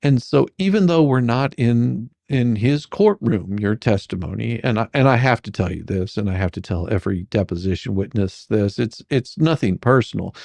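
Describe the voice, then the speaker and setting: A performer doing a comedic dramatic reading as a rambling, halting, faux-folksy lawyer questioning a witness in a deposition. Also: mid-range voice, gravelly, a little mellow, dry recording. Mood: rambling, formal. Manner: halting, repetitive, mock-sarcastic, stumbling over questions.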